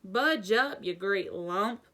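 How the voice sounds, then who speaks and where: A woman voicing a big, gruff, jovial giant man for a children's story, blunt and colloquial in manner. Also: medium pitch, expressive, slow pace, bright, forceful tone. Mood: gruff, bossy.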